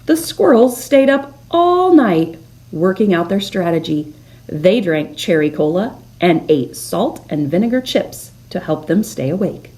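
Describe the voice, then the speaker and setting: A woman storyteller reading a children's picture book aloud expressively, with deliberate dramatic emphasis on key words. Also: on the low side, big pitch swings, a little mellow.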